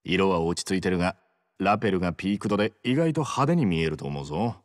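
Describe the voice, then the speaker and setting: A male actor voicing a cocky, teasing, loyal young yakuza in a Japanese video game, talking in rough slang. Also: deep voice, lively intonation, fast, no room sound, studio quality. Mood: brisk, opinionated. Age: young adult.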